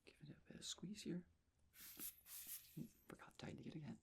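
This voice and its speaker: A man softly whispering an ASMR medical roleplay as a nurse, with a breathy, gentle, reassuring delivery. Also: fairly high pitch, brisk, a little hiss or hum. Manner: gentle, reassuring, personal attention.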